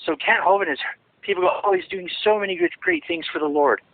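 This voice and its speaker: A man's voice: high voice, fast talker, slightly rough, no echo. Man on a tinny phone line. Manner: rambling, emphatic, many restarts. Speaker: interviewee, former associate of a ministry. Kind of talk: phone interview.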